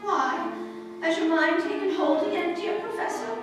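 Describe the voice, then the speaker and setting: A woman's voice: very high-pitched, normal speed. A woman playing a tipsy, haughty, romantic young society woman in a live old-time radio play, with theatrical exclamations. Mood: teasing, agitated. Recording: roomy live stage, distant mic, music behind.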